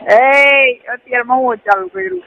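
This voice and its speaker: A woman's voice: high-pitched, animated, measured pace, vocal fry, fairly bright tone. A young woman. She chats casually on a recorded phone call, with a faint noise behind her. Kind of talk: casual private phone chat.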